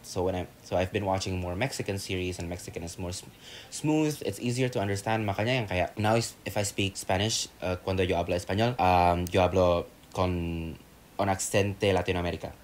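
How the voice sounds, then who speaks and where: A young man from Indonesia, chatting as a podcast guest in a blunt, emphatic and encouraging way. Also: low voice. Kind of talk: casual podcast chat.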